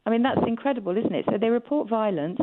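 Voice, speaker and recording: A woman's voice: fairly high pitch, lively intonation, quick pace, noisy background. Emphatic woman interviewee, slightly muffled sound. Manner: emphatic, repeats key words for stress. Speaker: campaigner and filmmaker interviewed as guest. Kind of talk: radio interview, advocacy talk. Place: radio interview.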